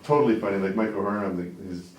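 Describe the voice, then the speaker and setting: A very deep-voiced man in his forties, from Canada, chatting loosely as an interview guest. He rambles and keeps checking in with "right?" and "like". He sounds set back from the mic in an echoey room. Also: fast talker, distant mic, a loud crowd. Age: 40s. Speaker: interview guest, business owner. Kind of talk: casual interview talk.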